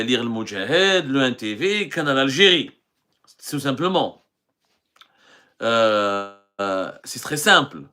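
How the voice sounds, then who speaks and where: A man's voice: medium pitch, bright, forceful tone. A middle-aged man from Algeria, a journalist hosting a live stream and answering viewer questions in an earnest way, with frequent hesitations and restarts.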